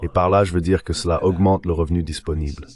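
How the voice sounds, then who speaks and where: A man's voice: low voice, steady tone, fast, a little mellow, lightly acted. A man delivering an explanatory voice-over translation for an interview guest. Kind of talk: dubbed interview commentary, explanatory.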